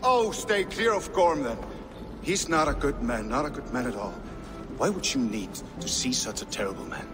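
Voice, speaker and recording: An actor playing a nervous, eager middle-aged Norse outcast trader in a video game, jittery and fawning, repeating himself in clipped phrases. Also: male voice, mid-range voice, lively intonation, normal speed, music in the background, natural voice. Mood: nervous, wary. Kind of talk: video game cutscene acting.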